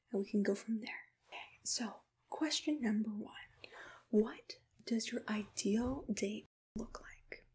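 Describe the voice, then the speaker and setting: A woman playing a gentle, soothing monster matchmaker in a whispered ASMR roleplay, unhurried and reassuring. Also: fairly high pitch, full character voice. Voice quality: breathy, whispery. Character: soft-spoken, accommodating female monster matchmaker. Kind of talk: ASMR personal-attention roleplay, mostly whispered.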